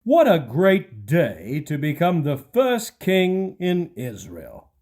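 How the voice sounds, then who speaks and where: A man's voice: fairly high pitch, wildly swooping pitch, slow pace, full character voice. A man playing a scheming, ruthless claimant to a throne in an audio Bible drama, with feigned courtesy. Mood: self-satisfied.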